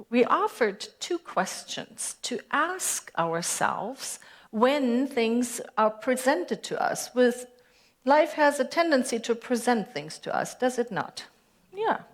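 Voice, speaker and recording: A woman minister with a German accent, giving a conversational sermon and putting questions to her congregation. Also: a little high. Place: church sanctuary.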